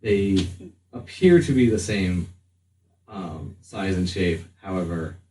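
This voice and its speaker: A man's voice: sits low, lively intonation, slow, mellow, distant mic. A man narrating a tabletop roleplaying game as game master, in a hesitant, improvised way. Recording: roomy, off-mic, faint room noise.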